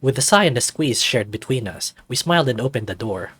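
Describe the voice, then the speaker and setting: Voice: medium pitch, lively intonation, fairly quick, fairly bright tone, breathy edge, no room sound, soft background music. A narrator reading a scripted first-person visual novel story.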